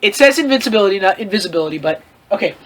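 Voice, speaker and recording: A host reading fan fiction aloud for comedy, in an animated, mock-lecturing way with frequent asides. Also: medium pitch, racing. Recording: clear background noise with clicks.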